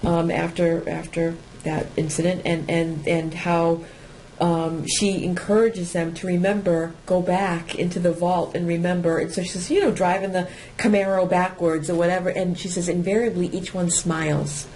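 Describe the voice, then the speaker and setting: A woman's voice: fairly low pitch, little pitch movement, normal speed, hardly any pauses, darkish tone, slight background noise, rough recording. A woman from Massachusetts with a light New England accent, co-hosting a casual chat and laughing often as she talks. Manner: chatty, laughs often, restarts sentences. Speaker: podcast co-host.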